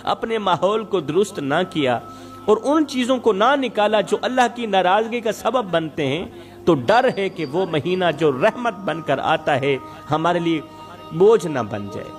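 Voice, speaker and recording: A man delivering an Islamic moral sermon as a preacher and storyteller, speaking emphatically with rhetorical repetition and exhortation. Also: sits high.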